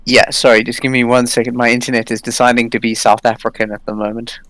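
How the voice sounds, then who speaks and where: A man's voice: mid-range voice, monotone, fast talker, fairly bright tone, no echo. Laid-back man on a dry line. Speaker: co-host friend on a call.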